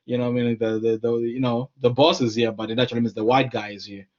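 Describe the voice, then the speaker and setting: Earnest scholar, slightly muffled. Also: male voice, mid-range voice, flat delivery, fast. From Zimbabwe. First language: Shona. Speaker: scholar and lecturer. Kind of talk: conversational discussion.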